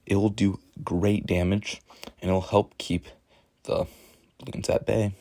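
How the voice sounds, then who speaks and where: Gravelly low-voiced man explaining gameplay. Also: little pitch movement, measured pace, dark tone, no echo. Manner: explanatory, casual, self-deprecating joking. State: rough voice. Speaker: gaming tutorial narrator. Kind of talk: gameplay commentary voice-over.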